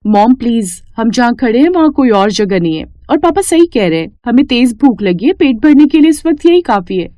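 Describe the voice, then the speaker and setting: A down-to-earth city girl of seventeen, played by a woman storyteller, frank and pleading in a cartoon moral tale. Her voice is raised and animated. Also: fairly high pitch, a little fast, few pauses, no room sound, studio quality.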